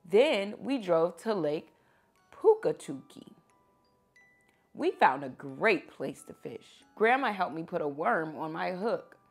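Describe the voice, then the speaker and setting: Lower-voiced woman reading a storybook aloud. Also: big pitch swings, slow, husky. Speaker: teacher reading children's books aloud. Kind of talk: expressive children's read-aloud storytelling. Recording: music behind the voice.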